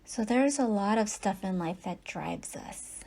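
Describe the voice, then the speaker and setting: A woman meditation teacher leading a guided yoga nidra practice in soft, breathy, unhurried phrases with long pauses. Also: medium pitch, expressive.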